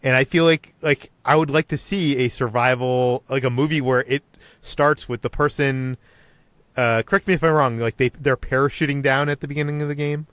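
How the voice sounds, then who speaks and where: A man's voice: mid-range voice, fairly even intonation, moderate pace, fairly bright tone, no room sound. A man hosting a casual film podcast, talking informally and restarting sentences as he explains his ideas. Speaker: podcast host.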